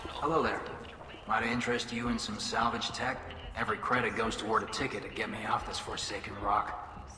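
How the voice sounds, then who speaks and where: A man's voice: lowish voice, level tone, brisk, over music, lightly acted. A man playing an earnest, downcast young alien pilgrim in a video game, speaking politely and with resignation. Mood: hopeful, cautious. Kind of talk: video game dialogue acting. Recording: echoey hall with noisy ambience.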